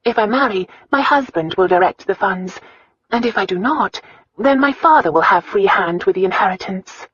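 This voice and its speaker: A woman's voice: mid-range voice, lively intonation, normal speed, fairly bright tone, slightly rough, dry recording, lo-fi sound, pitched above the performer's own voice. A woman voicing a poised, determined eighteen-year-old princess in an audiobook, speaking politely and firmly with control. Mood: cool, composed. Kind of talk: audiobook character acting.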